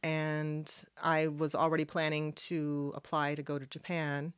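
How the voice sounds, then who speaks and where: A woman in her thirties from Canada, whose first language is Arabic, chatting as a podcast guest in a small studio on a boom mic, laughing often. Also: completely flat delivery, measured pace, clear, resonant tone, no echo. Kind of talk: casual interview chat. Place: small studio with red curtains. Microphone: studio mic on boom arm.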